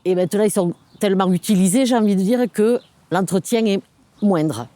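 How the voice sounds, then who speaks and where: A woman with a soft-edged, mellow voice at a middle pitch explains a local project as an official in an interview. She searches for her words as she goes, pausing and repeating short phrases. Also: slight background noise. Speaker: local government sustainable development officer.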